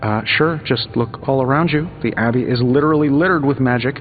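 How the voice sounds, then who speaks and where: A man's voice: medium pitch, fairly quick, phone-quality sound, faint music behind, close to an everyday voice. A man playing a cocky, wisecracking genius inventor superhero in a video game, with glib, sarcastic banter. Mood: breezy, cocky. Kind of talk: video game dialogue acting.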